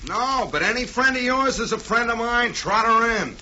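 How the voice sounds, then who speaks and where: An actor playing a dopey, wisecracking piano-playing sidekick with a slangy manner in 1940s radio comedy style. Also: male voice, very high voice, lively intonation, moderate pace, pushed and bright, a little hiss or hum, heavily acted. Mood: dopey, joking. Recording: dull 1940s radio broadcast recording.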